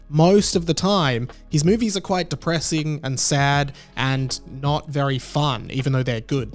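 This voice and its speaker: A man with a slightly high voice hosts a film commentary video over light background music. He speaks conversationally and gives his personal opinions in a semi-scripted essay style.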